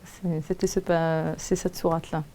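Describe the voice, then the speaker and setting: Hesitant woman with a gravelly, low voice. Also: flat delivery, dark tone. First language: Arabic. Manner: hesitant, searching for words with many pauses. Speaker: Quran teacher. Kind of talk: personal testimony to camera.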